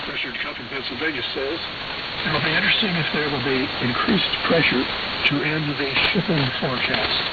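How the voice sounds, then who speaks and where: A man presenting a radio programme, reading scripted news and listener reports in a measured, matter-of-fact way over shortwave radio. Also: a little high. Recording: hissy, dull AM shortwave radio reception.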